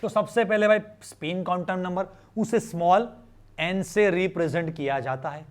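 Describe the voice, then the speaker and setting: A male teacher with an Indian accent and a notably high voice explains a lesson to students. He is informal and conversational, checking in with questions, repeating phrases and slipping in bits of English. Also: normal speed. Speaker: chemistry teacher.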